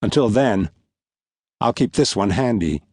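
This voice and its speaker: A man's voice: lowish voice, expressive, unhurried, darkish tone, no room sound, studio quality. A man voicing a middle-aged guerrilla commander in an audiobook, stern and mocking, with a commanding, taunting delivery. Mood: wry, measured. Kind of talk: acted audiobook dialogue.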